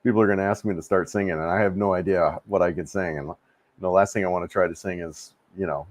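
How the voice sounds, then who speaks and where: A man's voice: deep voice, steady tone, fast. A man in his fifties hosting a casual live stream, rambling and restarting sentences in a laid-back, friendly way as he answers chat. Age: 50s. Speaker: livestream host answering chat. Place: home room.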